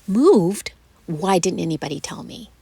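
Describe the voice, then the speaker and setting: A woman voicing a fussy, bossy older mother who nags and argues indignantly, in a whispery, gravelly storytelling voice. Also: mid-range voice, a little mellow, exaggerated voice. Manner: nagging, indignant, quick to argue.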